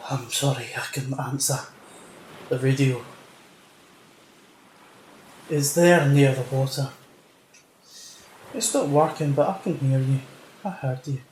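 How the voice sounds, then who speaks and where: A man acting in a fan audio drama as a stubborn, battle-worn, sheepish fellow with a halting, subdued delivery in a roomy space. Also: medium pitch, a little mellow, natural voice. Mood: weary, apologetic. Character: Lance, stubborn, battle-worn, sheepish young soldier's brother. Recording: roomy echo, faint noise and ambience.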